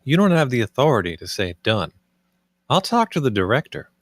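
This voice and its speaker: An actor at a film table read playing a gruff, mocking spaceship assessor with a sardonic, dismissive manner. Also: male voice, mid-range voice, big pitch swings, brisk, dry recording, studio quality. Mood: hard-nosed.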